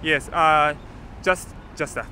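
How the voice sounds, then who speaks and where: A young man in his twenties with a Japanese accent speaks very slowly into a handheld mic. His answers are halting and brief, and his voice sits a little high. Clear street noise runs behind him. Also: fairly even intonation. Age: 20s. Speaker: student interviewee. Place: outdoors by a campus gate.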